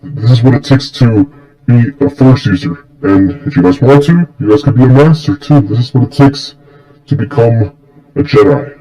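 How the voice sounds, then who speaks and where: A man voicing a stern, confident dark-lord mentor in an amateur fan story, coaching in a blunt, matter-of-fact way. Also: medium pitch, moderate pace, natural voice, low-quality recording.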